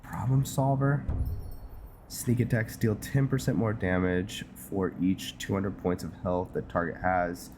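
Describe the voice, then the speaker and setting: A man giving casual gameplay commentary, unhurried and halting as he thinks aloud. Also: low-pitched, steady tone, background noise. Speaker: game streamer narrating his roleplay playthrough. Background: game music.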